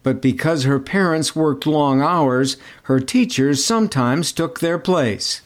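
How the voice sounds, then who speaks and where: A man reading scripted documentary narration in a measured, even way. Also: a little high.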